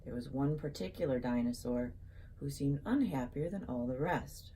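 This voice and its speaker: A woman with a notably deep, mellow voice shares a children's book as its author. She talks to young listeners in an expressive storybook way, mixing reading with casual remarks. A faint layer of noise sits behind her voice. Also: normal speed, poor audio. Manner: animated storybook delivery, addressing young listeners.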